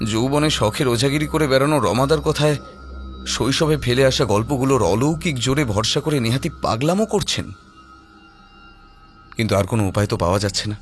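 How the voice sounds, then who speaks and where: A man narrating a scripted horror story in a dramatic storytelling style. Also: medium pitch.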